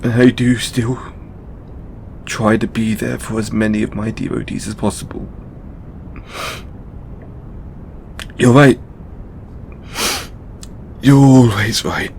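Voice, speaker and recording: A man playing a stressed, tender god confiding in a lover in an intimate audio roleplay, speaking haltingly with stammers and sighs. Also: steady tone, vocal fry, a little mellow. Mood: weary, relieved. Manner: hesitant, halting, stammering and sighing. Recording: background noise with low rumble.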